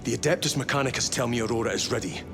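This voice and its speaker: A man's voice: medium pitch, very fast, over music, lightly acted. An actor playing a grim, weary male space marine captain who gives stern, clipped commands in a game cutscene. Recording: game audio with score underneath.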